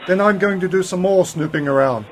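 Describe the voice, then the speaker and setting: A man playing an eccentric, knowing uncle and adventurer in an amateur science-fiction radio play, brisk and dismissive. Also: high-pitched, close to an everyday voice. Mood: assured. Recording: faintly noisy home-made radio play recording.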